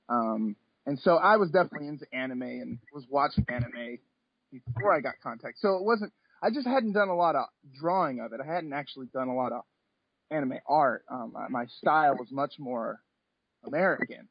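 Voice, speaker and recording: A man's voice: medium pitch, lively intonation, moderate pace, no room sound. A man in his thirties speaking casually as an interview guest, in a hesitant, rambling conversational way. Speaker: interview guest, comic and anime artist. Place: remote call from home. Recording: slightly dull, lo-fi call audio.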